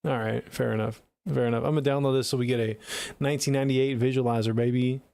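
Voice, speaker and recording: A male livestream host with a mid-pitched voice, recorded close and very dry. He chats casually and gives feedback on music in a laid-back, slangy way, often addressing his listeners directly. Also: steady tone, fast talker, creaky, dark tone, dry recording. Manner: laid-back slangy talk, addressing viewers as dude and gang.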